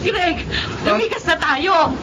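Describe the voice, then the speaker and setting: Panicky wife: high, urgent, complaining, big swings, noisy storm-drama track. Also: female voice, big pitch swings, slow pace, heavily acted. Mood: panicked, blaming. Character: nagging, panicky younger wife fleeing a storm. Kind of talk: Tagalog radio drama acting.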